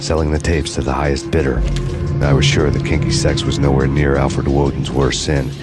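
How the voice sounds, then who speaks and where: An actor playing a weary, sardonic hard-boiled cop, narrating a video game in noir style. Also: male voice, rumbling low, normal speed, loud music behind the voice. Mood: grim. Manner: flat, deadpan, sardonic.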